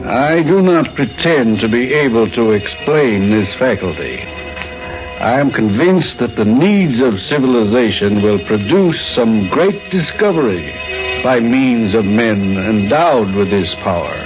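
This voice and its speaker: An actor playing a sceptical, commanding scientist and inventor in an old-time radio drama, speaking with emphatic demands. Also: male voice, medium pitch, animated, takes it slowly. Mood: solemn. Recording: dull old radio recording, music behind.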